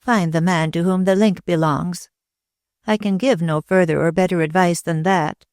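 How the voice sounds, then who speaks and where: A reader voicing a thin, pale woman clairvoyant who speaks slowly and with emotion, in an audiobook. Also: medium pitch, fairly even intonation, a little mellow, dry recording, studio quality, close to an everyday voice. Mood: calm. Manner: slow, emotional, solemn. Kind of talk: audiobook reading.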